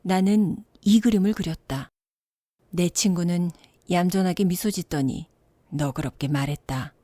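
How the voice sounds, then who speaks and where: Mellow, low-toned woman telling a bedtime story. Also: a little slow, dry recording. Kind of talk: scripted bedtime story narration.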